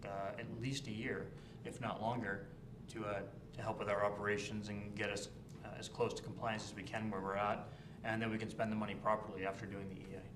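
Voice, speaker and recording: A man councillor making a measured, step-by-step argument in a council meeting. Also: on the low side, level tone. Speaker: town councillor. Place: echoey council chamber. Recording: echoey room with background noise.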